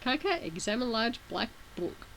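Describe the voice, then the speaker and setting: A woman's voice: fairly high pitch, very animated, moderate pace, a bit bright, slight background noise, rough recording. A woman with a sore throat reading a webcomic aloud, with casual asides between the read text. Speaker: reader of a webcomic.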